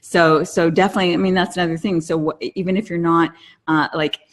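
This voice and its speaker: A woman's voice: on the low side, steady tone, fast talker. A middle-aged woman chatting casually as a podcast co-host in an encouraging, affirming manner. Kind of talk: casual conversational podcast chat.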